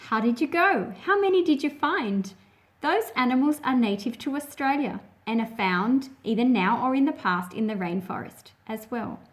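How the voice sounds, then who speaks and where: A woman's voice: on the high side, animated, normal speed. A woman reading and explaining a picture book aloud for young listeners. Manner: addresses young listeners with questions. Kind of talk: children's story read-aloud and explanation.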